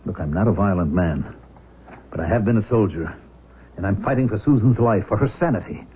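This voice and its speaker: Actor as an earnest, protective father with swooping pitch. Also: expressive, lightly acted. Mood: defiant, protective. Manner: earnest, emphatic, halting repetitions. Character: protective father, former soldier, agitated but determined. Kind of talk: 1970s radio drama acting. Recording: dull, noisy old radio broadcast.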